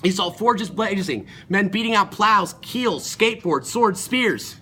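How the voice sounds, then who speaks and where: A man's voice: sits high, animated, measured pace, bright, forceful tone, slight background noise. A male student performer telling a myth as a dramatic story in an amateur recording.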